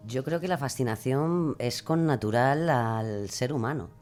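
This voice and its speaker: Woman guest thinking out loud, lots of hesitating, kinda philosophical chat. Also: very deep voice, measured pace, faint music behind. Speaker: podcast guest. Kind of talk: conversational interview answers.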